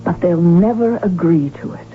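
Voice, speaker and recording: An actress in a radio drama playing a tender countess in love, anxious and affectionate. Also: female voice, fairly low pitch, moderate pace, natural voice. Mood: worried, loving. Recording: dull, slightly noisy vintage radio broadcast.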